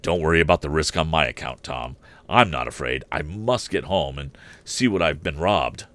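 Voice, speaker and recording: A man's voice: very deep voice, normal speed, fairly bright tone, no room sound. A man voicing an agitated, impatient elderly inventor and father in a storybook reading. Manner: agitated, insistent.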